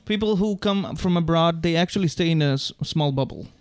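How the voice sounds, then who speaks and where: A man's voice: fairly high pitch, fairly quick, clean tone. A man co-hosting a casual conversation, asking short questions in slightly non-native phrasing. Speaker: co-host, interviewer.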